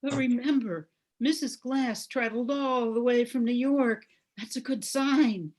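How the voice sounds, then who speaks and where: A woman's voice: fairly high pitch, steady tone, a little slow, darkish tone, natural voice. An older woman playing a supportive, protective neighbor friend in an old-time radio soap. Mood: reassuring.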